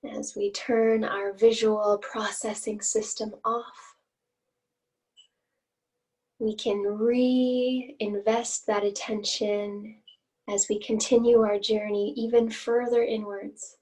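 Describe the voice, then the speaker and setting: Slow-paced woman guiding meditation, slightly distant. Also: mid-range voice, level tone. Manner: gentle invitational phrasing with long pauses. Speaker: meditation guide. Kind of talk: guided meditation, slow and instructional. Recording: voice distant from the mic.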